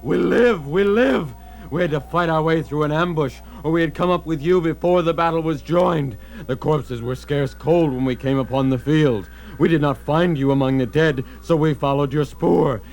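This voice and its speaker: Man acting an urgent, higher-voiced Norse warrior. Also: normal speed, gravelly, darkish tone, faint music behind. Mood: relieved. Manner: urgent, declamatory archaic speech. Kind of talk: audio drama acting.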